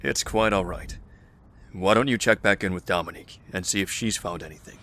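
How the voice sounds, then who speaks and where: A man's voice: sits low, a little fast, bright, forceful tone. A man playing a polite, composed and reserved camp leader in an audio drama. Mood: calm, reserved. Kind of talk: amateur audio drama acting.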